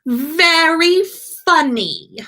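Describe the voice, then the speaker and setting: A woman's voice: very high-pitched, lively intonation, drawn-out words, bright, forceful tone, voice pushed up, exaggerated voice. A woman voicing a sulky, haughty teenage wizard boy who grumbles in snarky exasperation, in a story read-aloud. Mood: sleepy, snarky. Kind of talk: animated fan fiction read-aloud.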